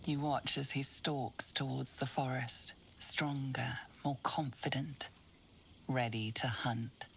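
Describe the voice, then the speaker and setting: A woman playing an ominous video game narrator, hushed, breathy and suspenseful. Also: rumbling low, fairly even intonation, slow, slight background noise, full character voice. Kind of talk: scripted second-person game narration.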